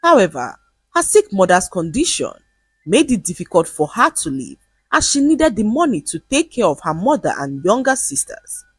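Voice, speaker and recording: A woman storyteller delivering scripted, dramatic folktale narration in an expressive way. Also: medium pitch, normal speed, breathy edge, no echo, soft background music.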